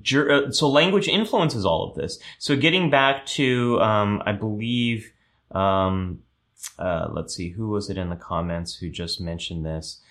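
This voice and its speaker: A man hosting a live show who explains ideas at length, reads out viewer comments, and often pauses with ums and restarts. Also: on the low side, a bit bright.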